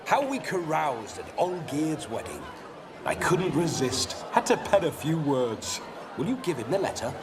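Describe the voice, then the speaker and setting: Ghostly nobleman reveller, wistful, fairly high swingy voice, crowd and noise behind. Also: very animated, measured pace. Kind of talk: video game voice acting.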